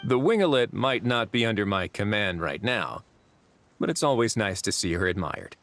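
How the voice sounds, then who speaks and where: An actor voicing a composed, wry prison warden in his thirties in a video game, smooth and dryly confident. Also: fairly low pitch, sing-song, normal speed, a bit bright, a little hiss or hum. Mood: wry, assured.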